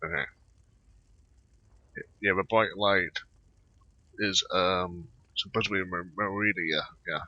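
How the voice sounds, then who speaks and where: A man giving casual, halting commentary over a video game playthrough, mumbling asides and bits of on-screen text. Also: sits low, fairly even intonation, bright, forceful tone, dry recording. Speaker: gameplay video host. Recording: slightly muffled, dull microphone sound. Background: voice-over on gameplay recording.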